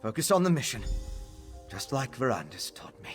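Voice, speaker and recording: An earnest, scholarly alchemist played by a male actor explains things carefully in a fantasy game. His medium-pitched male voice is thoughtful and focused, with music clearly playing behind it. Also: sing-song, moderate pace, lightly acted. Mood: resolute. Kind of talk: fantasy video game dialogue.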